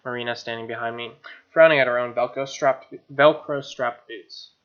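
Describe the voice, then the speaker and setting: A man's voice: medium pitch, fairly even intonation, moderate pace, a bit bright, dull audio. A man hosting a game stream, chatting casually and reading narration aloud, with muttered offhand asides. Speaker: streamer, let's play host. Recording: music playing behind voice. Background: game music.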